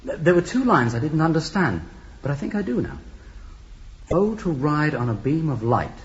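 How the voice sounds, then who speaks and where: A thoughtful male space traveller with a raised, expressive voice. He speaks earnestly in an echoey old radio drama with dulled sound. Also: on the high side, normal speed, dull audio. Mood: reflective. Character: thoughtful, inquisitive male starship crewman. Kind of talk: science fiction radio drama acting. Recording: echoey, band-limited old radio recording.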